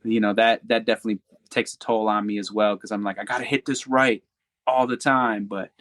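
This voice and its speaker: A man's voice: lowish voice, little pitch movement, a little fast, fairly bright tone, no echo, clean studio sound. A man on a podcast as a guest artist, talking in a candid, rambling way with restarts and fillers. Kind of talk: casual interview chat.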